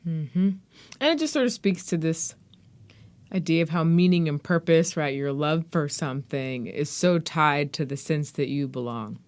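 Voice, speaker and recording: A woman's voice: sits low, animated, moderate pace, a little mellow. A woman hosting an interview podcast, chatting casually and responding encouragingly to her guest. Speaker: podcast host.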